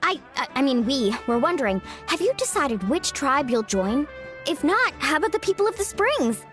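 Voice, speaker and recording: A woman's voice: high voice, big pitch swings, moderate pace, music in the background. A woman voicing a playful, cheerful young woman in a video game, with a persuasive, bubbly manner. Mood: hesitant.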